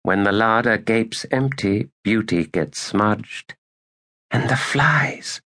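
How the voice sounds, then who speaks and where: A solemn, starving young girl voiced by a male audiobook narrator recites in a raised, dreamy voice. The delivery is slow and incantatory, like verse from a bleak fantasy story. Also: on the low side, pushed and bright, voice pushed up, full character voice. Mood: sad, hushed.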